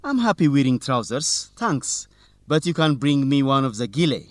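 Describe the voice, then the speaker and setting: A man's voice: medium pitch, big pitch swings, normal speed, no room sound, natural voice. A man playing an earnest, confident young student reporter in an educational radio drama. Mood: friendly.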